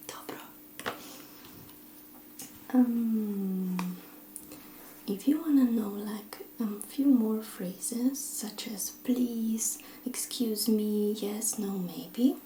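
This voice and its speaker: A woman teaching simple phrases in a soft, often whispered, encouraging way while seated at a table indoors. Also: a little high, drawn-out words, long pauses, echoey room, a little hiss or hum, low-quality recording. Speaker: language teacher.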